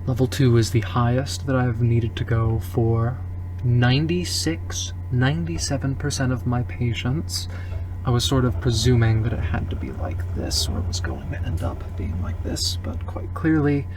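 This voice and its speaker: A man voicing an accomplished female cybernetic doctor in a roleplay, with formal, deliberate, precise and guarded speech. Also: mid-range voice, darkish tone, a little hiss or hum, natural voice, poor audio. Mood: measured, clinical. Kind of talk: improvised roleplay dialogue.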